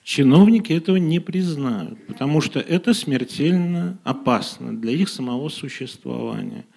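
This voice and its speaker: A man teacher argues his case on a panel in firm, declarative sentences. His voice is gravelly and husky, with a dark, mellow tone. It sits a little higher than most men's. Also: expressive, moderate pace. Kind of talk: panel discussion. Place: gymnasium hall in front of an audience.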